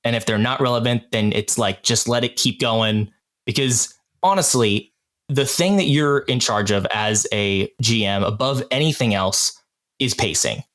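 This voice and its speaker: Mid-pitched man explaining things thoughtfully. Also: moderate pace, fairly bright tone. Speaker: podcast cast member, experienced game master. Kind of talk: casual discussion.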